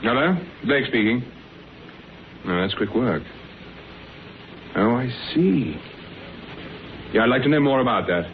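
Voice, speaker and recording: An actor playing a calm, commanding, dryly sardonic private detective and boss in a classic radio drama. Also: male voice, mid-range voice, measured pace, pauses often. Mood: brisk. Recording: noisy, narrow old radio recording.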